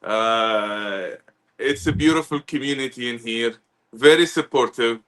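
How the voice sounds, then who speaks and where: A chocolatier from Tunisia, a man, introducing himself in a halting way, with many fillers. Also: mid-range voice, a bit bright. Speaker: chocolatier, guest. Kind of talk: casual live-stream introduction.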